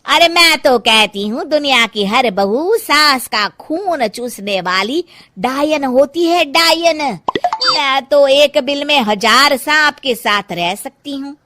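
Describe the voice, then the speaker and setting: A woman's voice: high-pitched, animated, takes it slowly, pushed and bright, a little hiss or hum, voice pushed up, exaggerated voice. A woman voicing a mocking, spiteful village gossip in a cartoon story, jeering with exaggerated exclamations. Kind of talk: Hindi cartoon moral-story acting.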